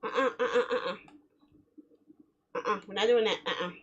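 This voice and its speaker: A woman's voice: medium pitch, normal speed, breathy edge, highs cut off. A woman streaming a game, chatting casually and reading story text aloud in a playful way. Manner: giggly, playful, chattering to herself. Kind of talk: casual livestream chat with visual novel read-alouds.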